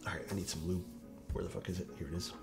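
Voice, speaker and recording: A man's voice: sits low, level tone, racing, creaky, loud music behind the voice. A young man with a stuffed-up, nasal voice, chatting casually on a live stream while he builds a keyboard and reads out chat. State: stuffed-up nose.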